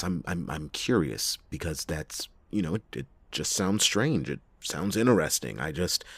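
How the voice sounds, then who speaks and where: A man playing a cynical, reluctant, hard-drinking private detective in a noir ASMR roleplay, with a husky, gravelly voice and sardonic, theatrical delivery. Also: rumbling low, no echo, exaggerated voice. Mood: guarded, intrigued. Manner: sardonic, theatrical, halting speech with drawn-out emphasis. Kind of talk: ASMR detective roleplay, acted monologue to listener.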